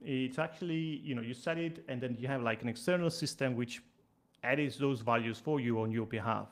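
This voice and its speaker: A man's voice: medium pitch, brisk. A man giving a casual online technical explanation, with fillers and repeated words. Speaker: trainer.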